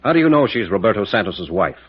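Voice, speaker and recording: An actor in an old radio drama playing a tough, decisive, suspicious captain with clipped, urgent, plain-spoken delivery. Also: male voice, on the low side, sing-song, quick pace, slightly rough. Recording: muffled old radio recording.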